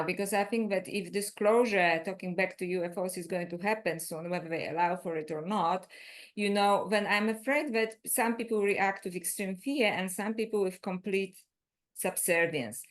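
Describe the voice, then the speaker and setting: A woman from Poland, the guest in a conversational interview, talking in an animated way full of restarts and "you know". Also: medium pitch. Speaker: guest author.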